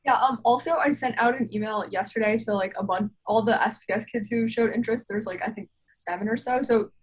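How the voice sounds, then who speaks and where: A teenage girl, a high school student, talking chattily with quick asides on a video call. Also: breathy edge. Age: teens. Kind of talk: casual video call meeting.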